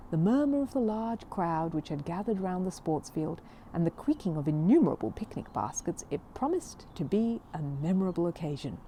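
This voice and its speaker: A woman reading a children's story aloud in an expressive, amused storybook style. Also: medium pitch, slight background noise.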